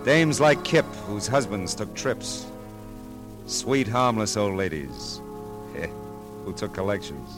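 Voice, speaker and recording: A deep-voiced American private eye from an old radio drama. He is tough yet soft-hearted, talking in clipped, wry slang with a world-weary air, with music behind him. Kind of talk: 1940s-50s American radio detective drama, first-person narration.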